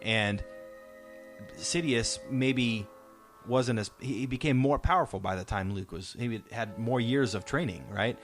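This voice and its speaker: A man's voice: mid-range voice, a little slow. A man hosting a podcast discussion, talking casually and enthusiastically in informal, slangy language. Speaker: podcast host. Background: music playing faintly.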